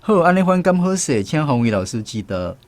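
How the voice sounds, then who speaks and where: A man's voice: a little high, very animated, a little fast. A man with a Taiwanese accent hosting a language lesson segment on the radio. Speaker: host of a translation quiz segment.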